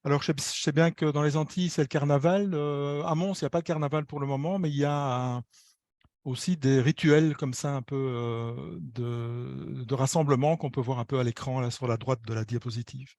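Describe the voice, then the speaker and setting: A man's voice: medium pitch, steady tone, normal speed, a little mellow, dry recording. A man giving an academic presentation over a video call, hesitant and repeating words as he searches for the next. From Belgium. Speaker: architecture lecturer and researcher presenting.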